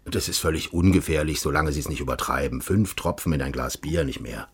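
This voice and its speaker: An actor playing a secretive former secret police official in a radio crime drama, formal and measured, persuasive with quiet menace. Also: male voice, rumbling low, exaggerated voice. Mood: persuasive, menacing. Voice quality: husky.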